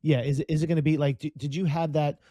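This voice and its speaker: A man's voice: fairly high pitch, little pitch movement, quick pace, dark tone, no room sound, soft background music. A male podcast co-host asking casual, rambling questions that he restarts as he goes.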